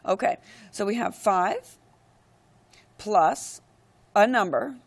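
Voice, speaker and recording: A woman teacher talks through a math lesson while she records her screen. Her voice is hoarse and gravelly because she is losing it. She explains each step in a steady, methodical way. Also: medium pitch, takes it slowly. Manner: steady, step-by-step explaining with frequent "all right, go ahead".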